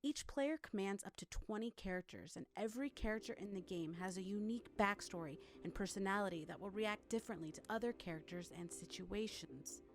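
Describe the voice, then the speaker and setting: A woman's voice: mid-range voice, fairly quick, few pauses. A woman narrating a scripted promotional video for a game in the manner of a trailer voice-over.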